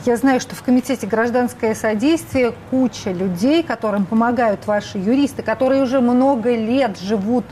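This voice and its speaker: A woman's voice: fairly high pitch, normal speed, hardly any pauses, dark tone, slight background noise. A woman hosting an interview who presses her guest with follow-up questions. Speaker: interviewer, host. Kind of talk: radio interview.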